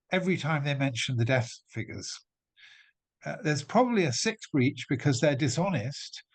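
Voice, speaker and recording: A middle-aged man from England addresses an audience with an emphatic, point-by-point argument. His pitch sits in an ordinary middle register, and he often restarts phrases as he presses each point. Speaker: guest speaker. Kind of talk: talk to an audience.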